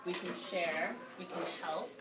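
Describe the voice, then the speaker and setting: A woman's voice: lowish voice, fairly even intonation, measured pace. A woman working as a preschool teacher, talking to young children in simple, instructive phrases with repetition. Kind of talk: reading a picture book aloud and questioning young children. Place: classroom, indoors. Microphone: phone camera at a distance. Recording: roomy, distant phone video, loud background noise.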